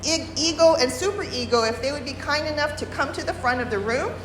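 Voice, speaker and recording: A woman leads a workshop, explaining carefully, pausing on fillers and restarting her sentences. Her voice sits a little high. She speaks without a microphone in a large room with a long echo. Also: background noise. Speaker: workshop presenter. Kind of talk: workshop lecture. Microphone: no microphone, room recording. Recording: roomy hall, unamplified voice.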